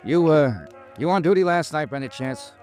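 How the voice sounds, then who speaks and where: An actor playing a brooding, hard-boiled insurance investigator in an old-time radio noir, terse and wry in dialogue and narration. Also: male voice, on the high side, animated, fairly quick, a little mellow, soft background music, natural voice. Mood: subdued, guarded.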